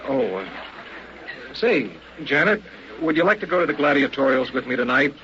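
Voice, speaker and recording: An actor in an old-time radio drama playing a cocky hunter who passes as a shy, hesitant out-of-towner. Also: male voice, mid-range voice, animated, moderate pace, bright, forceful tone. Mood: chatty. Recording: muffled, very noisy old radio recording.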